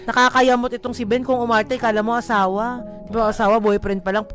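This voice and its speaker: A woman's voice: a little high, little pitch movement, a little fast, a bit bright. A woman co-hosting radio, moving between dramatic letter reading and playful, casual banter. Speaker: radio co-host and letter reader. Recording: music bed under the voice.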